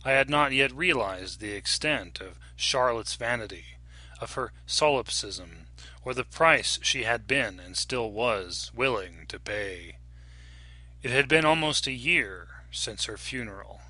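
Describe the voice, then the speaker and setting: Very bright-voiced man narrating fiction. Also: medium pitch, animated, measured pace, no echo. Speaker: audiobook narrator. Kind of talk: dramatic scripted fiction narration.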